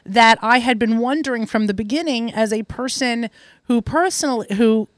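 A woman's voice: fairly high pitch, normal speed, no echo, clean studio sound. A woman interview host who asks long, thoughtful, winding questions with some fillers. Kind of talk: interview conversation.

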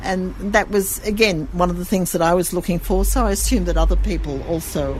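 A woman's voice: on the low side, brisk, darkish tone, slight background noise, rough recording. Chatty woman shop owner. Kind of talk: casual interview. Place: her gift shop.

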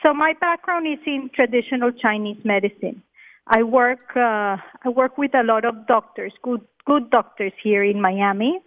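A woman's voice: fairly high pitch, moderate pace. Cheerful Colombian woman on the phone. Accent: Colombian Spanish. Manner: cheerful, laughs easily. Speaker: cookbook author. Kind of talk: phone interview. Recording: tinny phone line.

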